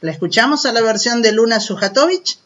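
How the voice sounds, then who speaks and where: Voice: medium pitch, expressive, fairly quick, lo-fi sound, music in the background. A radio host with an Argentine accent, presenting to children in a chatty, explanatory way and addressing the young listeners directly.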